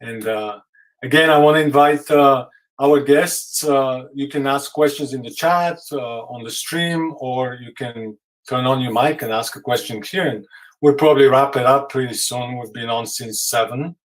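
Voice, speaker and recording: A man hosting a live stream from a video call, sitting back from his mic so he sounds a bit distant. He talks in a loose, halting way, full of fillers and restarts, thanking people as he goes. It has the relaxed feel of a casual call. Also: mid-range voice. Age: adult. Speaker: film director hosting the stream.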